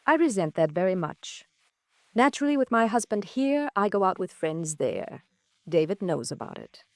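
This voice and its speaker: An actress playing an indignant, evasive well-off wife in a 1950s American radio drama, with a haughty, formal manner. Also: female voice, mid-range voice, big pitch swings, moderate pace, dry recording.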